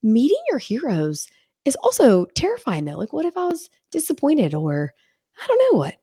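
A woman's voice: fairly high pitch, huge pitch swings, normal speed, warm tone, husky, no echo, clean studio sound. A woman hosting a podcast in casual chat, nasal from a cold, bubbly and laughing often. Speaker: podcast host. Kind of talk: casual conversational interview.

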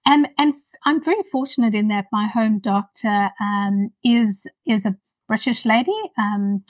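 A woman in her fifties with a South African accent, a podcast guest talking thoughtfully with many pauses. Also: medium pitch, no echo, distant mic. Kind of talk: casual interview.

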